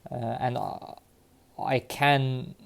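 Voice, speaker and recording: A man from Cyprus talking casually to his viewers, halting often with fillers and restarts. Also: medium pitch, little pitch movement, creaky. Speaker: vlogger sharing DNA results. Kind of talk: casual talk to camera. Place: London.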